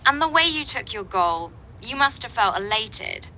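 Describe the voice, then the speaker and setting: An actress playing an eager football interviewer in a video game. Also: female voice, fairly high pitch, big pitch swings, normal speed, bright, forceful tone, exaggerated voice.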